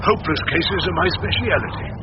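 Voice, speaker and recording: An actor playing a shrewd, sardonic older defence barrister in a radio drama, speaking with dry, sly courtesy. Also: male voice, high-pitched, lively intonation, fast. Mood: sly, persuasive. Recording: dull, noisy lo-fi radio recording.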